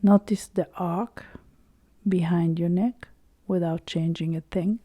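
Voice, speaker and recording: Female meditation guide, low-pitched and very soft-toned, soothing delivery in short phrases, recorded close and dry. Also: fairly even intonation, no echo. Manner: soothing, unhurried, with long pauses between short phrases. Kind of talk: slow guided body-scan meditation.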